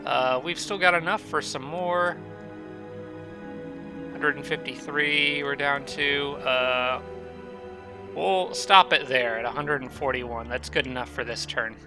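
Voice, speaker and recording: A man's voice: mid-range voice, takes it slowly, over music. A man giving casual commentary over a strategy game, thinking aloud with many fillers and restarts, his nose stuffed up from allergies.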